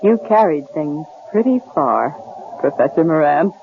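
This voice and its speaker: An actress playing a frightened, indignant young wife in a 1970s radio drama, urgent and emotional. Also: female voice, low voice, lively intonation, unhurried, gravelly, heavily acted. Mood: reproachful. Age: young adult. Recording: dull, hissy old radio recording.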